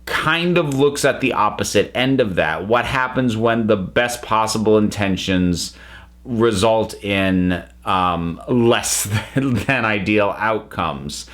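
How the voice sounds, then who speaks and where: A man's voice: on the low side, slow pace, fairly bright tone. A man giving a casual spoken review to viewers, thoughtful and emphatic, often breaking off to rephrase.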